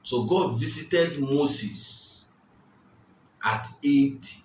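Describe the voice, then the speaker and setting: Man, sermon, unhurried, emphatic, repetitive phrasing, roomy reverb, faint background ambience. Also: mid-range voice, recorded from a distance, slight background noise. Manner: slow and emphatic, repeating key phrases. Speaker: preacher.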